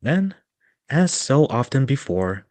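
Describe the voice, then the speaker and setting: A man's voice: mid-range voice, very animated, slow pace, a little mellow, no echo. A man narrating a storybook dramatically as part of a group reading.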